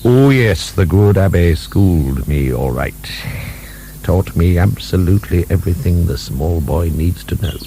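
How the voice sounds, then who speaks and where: An actor playing an embittered old marquis in a radio drama, sardonic and theatrical, his gravelly, breathy voice often dropping to whispers. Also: deep voice, expressive, measured pace, heavily acted. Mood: sardonic, bitter. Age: older. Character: aging, embittered, feverish male libertine marquis. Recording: soft recording with background hum.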